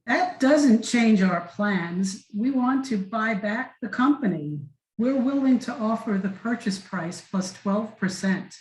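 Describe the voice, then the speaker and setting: A woman's voice: on the high side, lively intonation, slow pace, a little mellow. A woman in an amateur table read playing a determined businessman. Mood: firm. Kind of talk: amateur script reading. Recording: roomy, echoey voice pickup.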